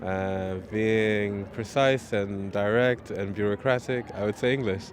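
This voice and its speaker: A man's voice: fairly low pitch, a little slow, crowd noise. A man giving terse, list-like answers in a street interview.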